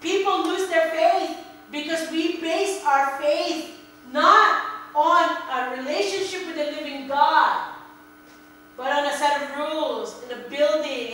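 A middle-aged woman from the Philippines preaching a sermon in a church, animated and repeating words for emphasis. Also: sits high. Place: church sanctuary, indoors. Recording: very echoey hall, a bit distant, faint hum.

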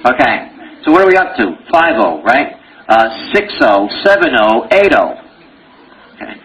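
A man's voice: medium pitch, sing-song, a little slow, fairly bright tone, muffled recording, a little hiss or hum. A man teaching a medical exam review lecture, joking and quizzing his audience with rhetorical questions.

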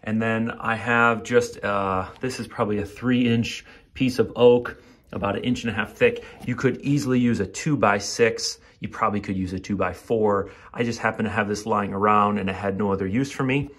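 A middle-aged man giving a relaxed, rambling walkthrough of his home garage setup, filmed on a handheld phone, with many fillers. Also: on the low side, normal speed. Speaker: home gym vlogger. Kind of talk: casual how-to walkthrough. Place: home garage, then attic. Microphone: handheld phone camera.

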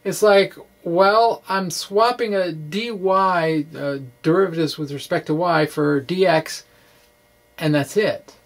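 A middle-aged man giving an informal physics explainer in a halting, conversational, wry way, speaking into a microphone indoors. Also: a little high. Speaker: physics blogger. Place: small indoor room with bookshelves. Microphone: microphone on a stand in front of him.

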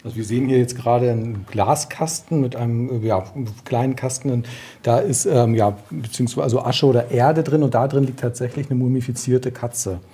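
Dark-voiced man reporting on location. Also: medium pitch, fairly quick. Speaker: visiting author and reporter. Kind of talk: unscripted descriptive reporting. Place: inside a museum, on location.

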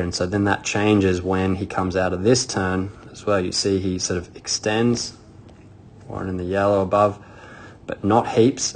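A man's voice: deep voice, slight background noise. A man coaching skiing, talking casually over video footage, thinking aloud with many fillers and restarts. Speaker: ski coach. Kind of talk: casual video breakdown commentary.

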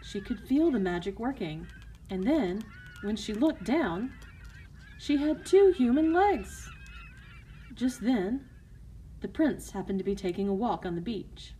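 A woman's voice: mid-range voice, animated, a little slow, warm tone, slight background noise, low-quality recording. A woman narrating a scripted fairy tale for children as a storyteller.

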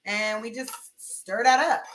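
A woman's voice: on the high side, a little slow, a bit bright, reverberant, slight background noise, rough recording. A young woman chatting casually and slangily to viewers as she hosts a cooking livestream from her home kitchen. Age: young adult. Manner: informal, slangy, chatting to viewers while moving around. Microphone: webcam-style mic at arm's length or farther.

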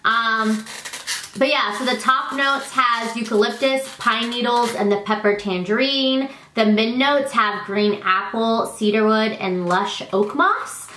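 Stuffy-nosed woman in her thirties, chatty vlogger, slightly high voice, roomy sound. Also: measured pace, slight background noise. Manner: chatty, self-deprecating, warm toward her child. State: congested from allergies. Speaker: product vlogger and consultant. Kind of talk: casual chat to camera. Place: living room, indoors.

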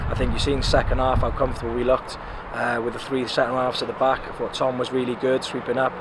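A man in his thirties, a football club manager, giving a frank, animated post-match interview outdoors beside the pitch, with many "um"s and "you know"s. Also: quick pace. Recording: loud outdoor noise with passing traffic.

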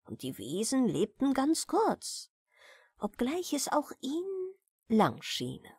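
A woman narrating a fairy tale as an audiobook storyteller, deliberate and expressive, with dramatic pauses. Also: sits high, slightly rough, dry recording, clean studio sound. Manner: deliberate, dramatic pauses between short phrases.

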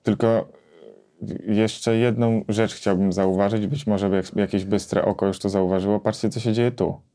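A man in his thirties explaining a gear comparison conversationally, with hesitant pauses as he thinks. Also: fairly low pitch, steady tone, dark tone. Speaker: gear reviewer and co-host. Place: small home music studio, indoors.

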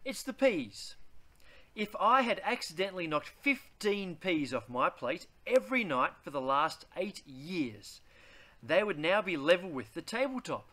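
A man, reading a children's picture book aloud in a deliberate, theatrical way. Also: high-pitched, big pitch swings, bright, forceful tone. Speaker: read-aloud host.

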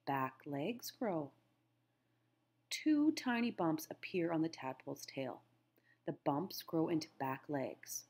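A woman's voice: low-pitched, expressive, takes it slowly, darkish tone. A middle-aged woman teacher reading a children's nature book aloud, phrasing things simply and putting questions to young listeners.

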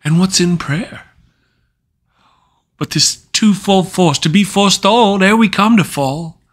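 Guy acting guilty usurper king praying, slow heavy shakespeare soliloquy, tormented and pleading. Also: high voice, fairly bright tone, exaggerated voice. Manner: dramatic, deliberate delivery with weighty pauses. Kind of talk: classical Shakespearean stage soliloquy.

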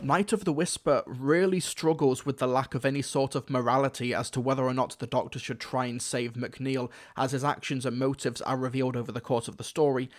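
A man's voice: medium pitch, fairly even intonation, a little fast, few pauses, faint music behind. A man delivering scripted review commentary, opinionated and wry, with emphatic phrasing. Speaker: reviewer, host.

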